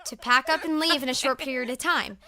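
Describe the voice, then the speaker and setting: A woman playing an earnest, easily provoked American high school girl in an audio drama, defensive in manner. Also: brisk, bright, forceful tone. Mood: earnest, nervous.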